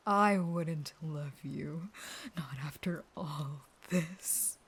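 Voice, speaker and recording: A woman playing a starving, caged vampire lover in an intimate audio roleplay, breathy and mostly whispering, unstable, possessive, pleading and threatening. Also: low voice, very slow, heavily acted. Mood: bitter, threatening.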